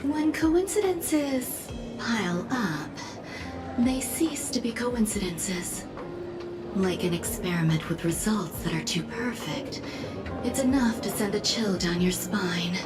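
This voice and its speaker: Casting: probing, secretive scholar for game dialogue, medium register, measured dramatic delivery, music underneath. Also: female voice, medium pitch, expressive. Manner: deliberate, enigmatic, dramatic pauses. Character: cool, cerebral woman scholar, secretive and probing. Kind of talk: video game story dialogue.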